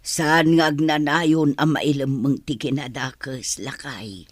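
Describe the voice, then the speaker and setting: A woman playing a gentle, caring middle-aged village mother, earnest and motherly, in an audio drama. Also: low voice, fairly even intonation, slow pace, no echo. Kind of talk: radio drama dialogue.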